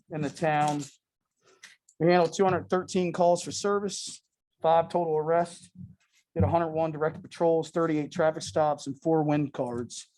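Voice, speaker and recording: A man's voice: a little high, mellow. A male sheriff's deputy reading a monthly police report to a town board in a plain, matter-of-fact way.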